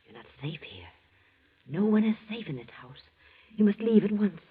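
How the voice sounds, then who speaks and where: An actress playing a fearful, secretive woman giving hushed, ominous warnings in a 1940s radio thriller, on a tinny old recording. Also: mid-range voice, very animated, moderate pace. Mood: hushed dread. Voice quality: very breathy, whispery.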